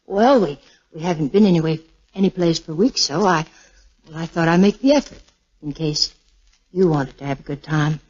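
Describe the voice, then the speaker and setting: A woman's voice: deep voice, moderate pace, a little mellow, breathy edge. A woman playing a devoted, wistful young wife in a 1940s radio drama. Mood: wistful, anxious. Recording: muffled, lo-fi 1940s radio recording.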